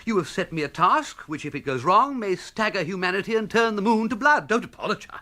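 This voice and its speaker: An actor playing a flustered, hapless young English gentleman in a comic radio drama, with a plummy upper-class accent and a blustering manner. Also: high-pitched, lively intonation, a little fast. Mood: grumbling sarcasm. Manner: plummy, blustering, sarcastic, stammering when cornered.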